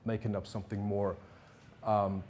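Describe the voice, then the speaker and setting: A man's voice: on the low side, little pitch movement, normal speed, a little mellow, a little hiss or hum. A man giving an informal lecture to art students, talking hesitantly with many fillers and restarts. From Germany. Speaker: visiting artist lecturer. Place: classroom at an art academy.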